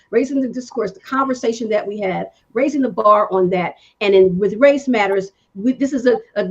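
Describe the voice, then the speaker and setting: A woman host with a fairly high voice, opening her talk show with easy laughter and talk of faith. The sound is somewhat muffled and dull, as if the line or mic cuts off the top end. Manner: laughs easily, speaks of love and faith. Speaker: show host and company owner. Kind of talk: warm faith-based talk show hosting.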